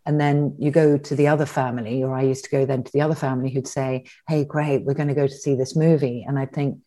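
Low, mellow-voiced woman in her sixties. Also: fairly even intonation, quick pace. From England. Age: 60s. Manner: reflective, with many restarts and ums. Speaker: guest. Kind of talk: conversational interview.

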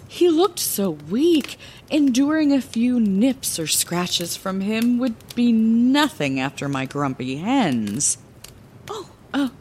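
Lively, unhurried storytelling lighthouse keeper woman. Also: mid-range voice, a little hiss or hum. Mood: wistful, vivid. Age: middle-aged. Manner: theatrical, wordy, chatty asides. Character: woman lighthouse keeper, fond, playful storyteller recalling her youth. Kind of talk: fantasy audio drama bedtime-story narration.

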